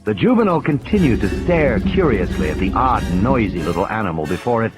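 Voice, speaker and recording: Highly animated male audiobook narrator. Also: a little fast. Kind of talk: dramatic scripted narration. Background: music.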